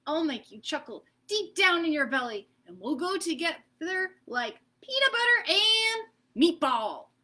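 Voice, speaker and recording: A hopeful little storybook boy made of peanut butter chants his rhyming plea in a high, sing-song voice, as a woman puts him on. The pitch leaps about and the tone stays bright and eager. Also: very animated, measured pace, heavily acted.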